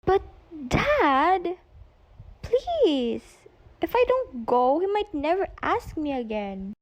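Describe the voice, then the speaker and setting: A boy-crazy teenage daughter played by a woman in an amateur radio play. Her voice sits high and she takes her lines slowly. She pleads and dramatizes like someone whose social life depends on it. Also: very animated, highs cut off, full character voice. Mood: pleading. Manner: pleading, melodramatic.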